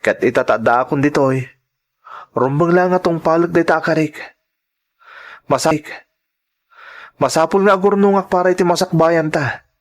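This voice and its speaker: Aktor a gumanggannap iti napasnek a baro nga Ilokano, nangato ti timekna. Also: male voice, on the high side, normal speed, pauses often, natural voice. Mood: earnest, promising. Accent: Ilocano. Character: earnest, devoted young Ilocano son working abroad. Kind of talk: radio drama acting.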